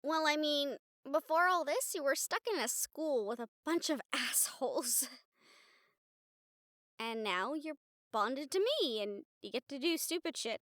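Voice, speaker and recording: A woman plays an excitable young college girl with a very high, bright voice. She talks straight to the listener in a chatty, giggly, earnest way, as in an intimate audio roleplay. The recording is close and dry. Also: dry recording. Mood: teasing, affectionate. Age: young adult. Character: excitable, sweet young college girl, a medium befriending a ghost.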